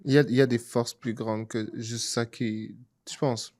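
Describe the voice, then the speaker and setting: A man with a medium-pitched voice talks as a podcast panelist. He shares opinions informally, dropping English words into his sentences. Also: steady tone, normal speed, noisy background. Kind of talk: casual opinionated discussion.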